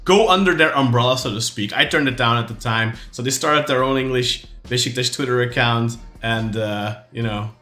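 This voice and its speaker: A man's voice: mid-range voice, moderate pace, hardly any pauses, sharp tone, faint music behind. A man co-hosting a football podcast in casual chat, rambling with many restarts and fillers. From raised outside Turkey. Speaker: podcast co-host. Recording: roomy echo.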